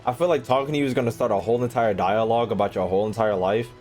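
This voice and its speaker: Low-voiced slangy male gaming streamer. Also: steady tone, racing, darkish tone. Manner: slangy, repeats phrases, sweary. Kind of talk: casual gameplay commentary. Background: game music.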